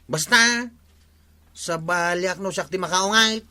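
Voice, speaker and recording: Forceful, indignant village watchman chief. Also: sits high, slow, no echo, exaggerated voice. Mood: vengeful. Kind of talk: comedic radio drama acting.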